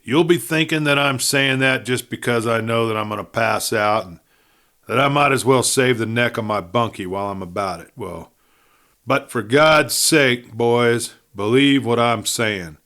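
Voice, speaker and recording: A man's voice: measured pace, fairly bright tone. Man playing a desperate dying Western outlaw. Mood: urgent pleading. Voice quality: low, breathy. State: gasping, near death. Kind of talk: audiobook character voice.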